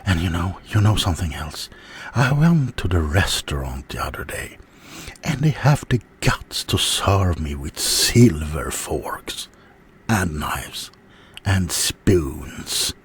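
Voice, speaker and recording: Man acting as a very deep, slow, offended werewolf. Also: very animated, breathy edge, heavily acted. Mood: hurt, aggrieved. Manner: halting, aggrieved, often dropping into whispers. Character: offended, sulky adult male werewolf being interviewed. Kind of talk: ASMR character roleplay monologue.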